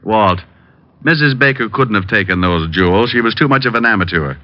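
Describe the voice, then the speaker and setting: Sardonic radio private eye, dull vintage sound. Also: male voice, lowish voice, expressive, fairly quick, pushed and bright. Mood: cool needling. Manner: sardonic, dry, needling. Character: wisecracking cool male private detective. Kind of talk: 1940s radio acting. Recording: dull vintage radio recording, music behind.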